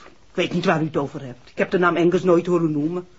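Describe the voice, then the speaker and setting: A woman's voice: on the low side, fairly even intonation, fast, slightly rough. An actress playing a guarded, curt garage woman in an old radio drama, wary and clipped in her answers. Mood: guarded, denying. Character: guarded, curt garage-keeper mother with a grown son. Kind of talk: 1960s Dutch radio drama acting. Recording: muffled old radio recording.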